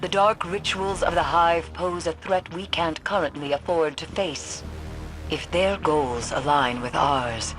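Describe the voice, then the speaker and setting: A grim woman mystic from a video game, haunted by those she has lost. She speaks very slowly and deliberately, with brooding, portentous weight. Music plays behind her. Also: low-pitched, a bit bright. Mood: grim, urgent. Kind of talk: dramatic video game acting.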